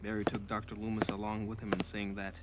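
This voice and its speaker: A man's voice: on the low side, completely flat delivery, quick pace, background noise. A man narrating a short audio drama as a scripted story.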